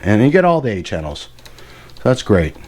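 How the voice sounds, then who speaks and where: A man with a low-pitched voice presents gear in a casual, hands-on way. He rambles enthusiastically and often corrects himself as he goes, like a hobbyist explaining a favorite piece of equipment. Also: big pitch swings, normal speed, a little mellow. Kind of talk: casual hands-on product demonstration. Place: indoor workbench.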